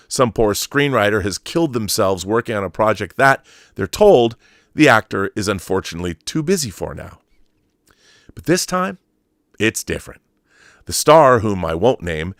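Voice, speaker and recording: A man's voice: on the low side, sing-song, normal speed, fairly bright tone, no echo, studio quality. A man hosting an online show, reading an article aloud in an emphatic storytelling way and adding explanatory asides.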